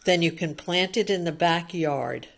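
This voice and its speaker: A woman's voice: on the low side, measured pace, gravelly. A woman reading a picture book aloud to young children, adding chatty commentary and simple explanations and often putting questions to the child.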